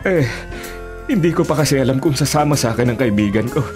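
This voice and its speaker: A man's voice: mid-range voice, animated, fast talker, over music, lightly acted. An actor playing a vain, evasive elderly father in a Filipino radio drama, hesitant and sheepish. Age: older.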